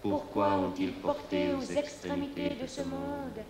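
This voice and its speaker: A woman playing an anguished, formless shadow spirit in a theatrical film monologue, declaiming solemnly and accusingly in measured phrases. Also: high voice, wildly swooping pitch, echoey room, a loud crowd, exaggerated voice. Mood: indignant, wounded.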